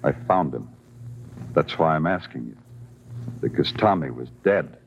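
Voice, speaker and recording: An American man playing a terse, deadpan, world-weary police detective in a vintage radio crime drama. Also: a bass voice, measured pace, vocal fry, a little hiss or hum, heavily acted. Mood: cool, pressing. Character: hard-boiled male night-shift Broadway police detective, world-weary. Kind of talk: 1950s radio crime drama acting and narration. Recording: dull vintage radio recording with music.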